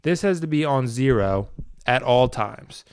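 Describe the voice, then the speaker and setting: A man's voice: medium pitch, expressive, unhurried, smooth, no room sound, studio quality. A man giving a casual, hands-on tutorial. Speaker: tutorial video maker.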